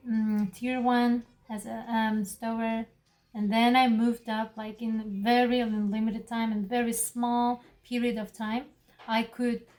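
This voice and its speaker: A woman in her late twenties from Kazakhstan, whose English is a second language, talks through her story in a relaxed, thoughtful way, often stopping to search for words. Her voice sits a little high, and she is recorded in an echoey room with faint music behind her. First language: Kazakh or Russian. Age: 20s. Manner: relaxed, thoughtful, frequent fillers and restarts. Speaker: interview guest. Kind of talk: casual interview.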